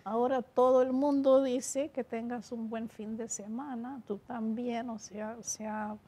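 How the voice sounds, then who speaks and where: A woman's voice: fairly high pitch, little pitch movement, unhurried, warm tone, slightly rough. Woman in her seventies. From Romania. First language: Romanian. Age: 70s. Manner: thoughtful, with frequent restarts and gestures. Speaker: guest linguist. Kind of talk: panel conversation. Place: seminar room with bookshelves.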